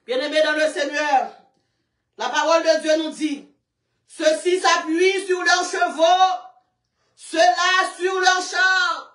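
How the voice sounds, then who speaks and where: A preacher, shouting a fervent sermon, chanting and breaking into song. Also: extremely high pitch, fairly even intonation, slow. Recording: echoey room, far from mic.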